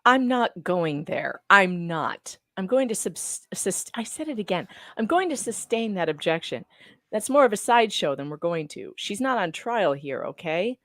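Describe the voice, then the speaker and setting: Woman reading as a firm, measured judge. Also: medium pitch, expressive, fairly bright tone, no echo, lightly acted. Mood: firm, unimpressed.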